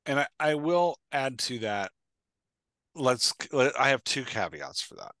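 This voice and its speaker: A man in his thirties co-hosting a podcast over a video call on a headset mic, speaking emphatically and then dropping quiet. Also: medium pitch, big pitch swings, a little slow, no echo, clean studio sound. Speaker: podcast co-host. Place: home room.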